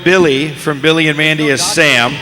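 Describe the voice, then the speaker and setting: A man's voice: on the high side, fairly even intonation, normal speed, over music. A man casually hosting a live panel as moderator over a hall PA. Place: large convention hall stage. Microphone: stage microphone. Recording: echoey convention hall PA, faint noise.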